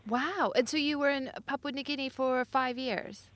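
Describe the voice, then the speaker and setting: A woman's voice: high-pitched, normal speed, a bit bright, dry recording, clean studio sound. A woman hosting an interview, opening the show and asking short, encouraging questions. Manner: brief, encouraging prompts.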